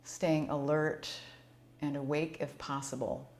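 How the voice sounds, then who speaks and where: A woman with a low-pitched voice leads a guided relaxation practice. She speaks as a yoga nidra instructor, giving gentle, unhurried instructions in a soothing manner. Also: little pitch movement.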